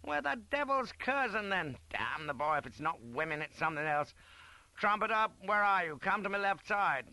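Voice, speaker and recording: A fat old British general, bawling in a high, forceful voice. He is pompous and scatterbrained, barking clipped officer bluster as a storybook character, with a dry, close sound. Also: sing-song, moderate pace, no echo, full character voice. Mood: blustering, impatient. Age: older. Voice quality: loud, bawling. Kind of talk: acted character in an audiobook.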